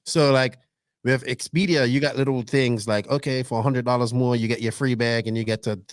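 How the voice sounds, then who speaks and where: A man hosting a casual podcast, animated and teasing, rambling through his stories. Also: medium pitch, fairly quick, clear, resonant tone, no room sound, studio quality. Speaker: podcast host. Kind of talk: casual chat.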